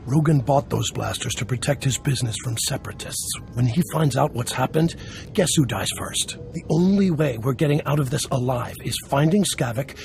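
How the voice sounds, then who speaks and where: Man playing a gravelly, wry underworld dealer. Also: mid-range voice, darkish tone, a little hiss or hum, close to an everyday voice.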